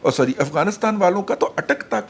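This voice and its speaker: Animated man telling a story. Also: brisk, creaky, husky. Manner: tells a personal story animatedly, rising in pitch. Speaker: panelist. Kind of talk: casual political talk. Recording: faint background noise.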